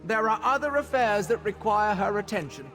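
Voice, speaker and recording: An actor playing an officious, dismissive male high elf gatekeeper in a fantasy game, speaking in a haughty, formal, curt way. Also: expressive, moderate pace, fairly bright tone, faint music behind.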